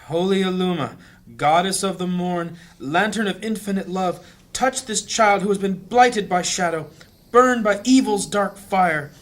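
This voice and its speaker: A man's voice: high voice, a little slow. A man playing an anxious, devout priest and healer in a fantasy audio drama, with an earnest manner. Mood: solemn, devout.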